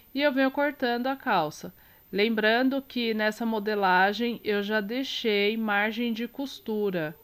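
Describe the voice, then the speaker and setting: A Brazilian woman with a congested, nasal voice from a cold, calmly explaining a sewing tutorial step by step while she works, correcting herself now and then. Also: mid-range voice. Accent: Brazilian Portuguese. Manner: calm, explains while working, small self-corrections. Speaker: sewing teacher.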